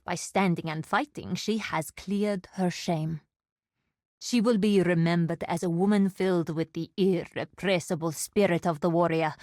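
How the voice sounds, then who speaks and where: An actress voicing a proud, dutiful desert warrior woman in a video game, speaking in a formal, measured, solemn way. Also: on the low side, a little mellow, no echo, clean studio sound, natural voice. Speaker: game character.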